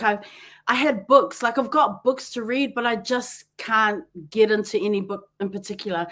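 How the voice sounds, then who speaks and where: A woman with a New Zealand accent hosting a podcast, chatty and quick to laugh, often wandering off on tangents. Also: medium pitch, a bit bright. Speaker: podcast host. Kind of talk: casual chat.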